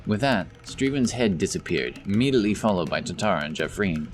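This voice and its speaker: Deep male narrator, scripted fantasy storytelling, audio drama, light music underneath. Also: lively intonation, a little fast.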